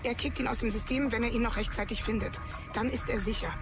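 A woman's voice: on the high side, fairly even intonation, fast, natural voice. An actress playing a grim, commanding female hacker in a German sci-fi audio drama, speaking tersely and urgently. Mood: grim, resigned. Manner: terse, commanding, guilt-ridden. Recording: loud music bed under dialogue.

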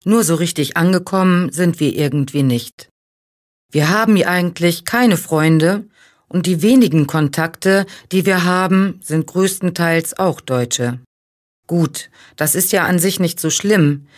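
A woman reading a scripted personal account for language learners in a plain, even way. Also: fairly low pitch, a little slow. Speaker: reader of listening texts.

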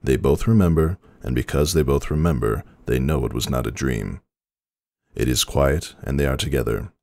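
A man narrating a story dramatically in a measured, solemn manner. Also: a bass voice, gravelly, darkish tone, no echo, clean studio sound.

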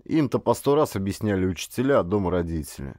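A man narrating a first-person literary story as an audiobook, with expressive, acted storytelling. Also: deep voice, fast talker, no echo, studio quality.

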